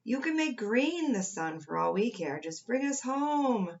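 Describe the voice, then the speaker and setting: A woman's voice: a little high, big pitch swings, a little slow, highs cut off, voice pushed up. A woman voicing a weary, fed-up pair of melted storybook crayons, blunt and exasperated.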